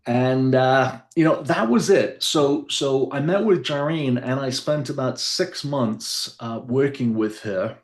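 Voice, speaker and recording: A man's voice: mid-range voice, takes it slowly, few pauses, echoey room. A man who is a guest on a radio interview, telling his personal story in an earnest, rambling way. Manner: earnest, rambling, with fillers and restarts.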